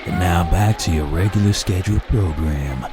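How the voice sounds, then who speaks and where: Loud music accompanies a jazzy old-time serial announcer acted by a man. The voice is deep and showy, delivering scripted narration for an audio drama. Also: normal speed, a loud crowd, close to an everyday voice.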